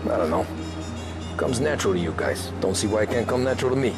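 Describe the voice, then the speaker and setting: A new recruit to a gang in a video game voiced by a man speaks in a lowish voice. He is wry and hard to rattle, keeping things dry and understated while music plays loudly behind. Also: lively intonation, fast, lightly acted. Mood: wry, defiant. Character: wry male newcomer to the mob, unfazed. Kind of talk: video game cutscene acting. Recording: loud music and street noise behind.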